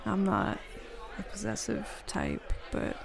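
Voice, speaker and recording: A woman playing a flustered, smitten, jealous roommate in an intimate roleplay audio, speaking haltingly and nervously. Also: mid-range voice, huge pitch swings, exaggerated voice. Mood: relieved, flirtatious. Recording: bar crowd murmur behind voice.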